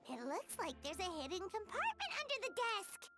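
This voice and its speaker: Squeaky, childlike little fairy companion. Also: female voice, wildly swooping pitch, normal speed, sharp tone, soft background music, full character voice. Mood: curious. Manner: exclaiming, wondering aloud. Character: small childlike floating fairy companion, eager, loyal, chirpy. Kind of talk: animated video game acting.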